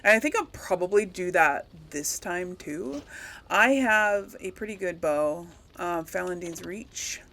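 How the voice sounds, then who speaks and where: Bright-voiced woman chatting through a game. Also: on the low side, lively intonation, measured pace. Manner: rambling, talks to herself. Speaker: gaming video host. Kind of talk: casual game commentary, thinking aloud.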